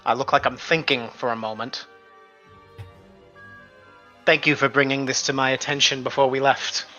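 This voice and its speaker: A man's voice: mid-range voice, fairly even intonation, moderate pace, pushed and bright. A man playing a tabletop roleplaying game, switching between casual table talk and speaking as his character. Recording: muffled lo-fi audio under faint music. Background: music.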